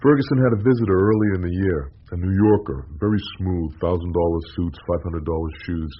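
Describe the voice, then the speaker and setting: An actor playing a sober, shrewd priest in a radio drama, with a husky voice and a restrained, reproving manner. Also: male voice, deep voice, a little fast, a little hiss or hum, close to an everyday voice. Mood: shrewd, matter-of-fact. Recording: dull, lo-fi radio recording.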